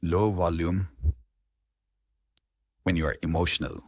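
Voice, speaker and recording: A man's voice: deep voice, little pitch movement, measured pace, no room sound. A man speaking as a coach, giving an informal, explanatory lesson on public speaking.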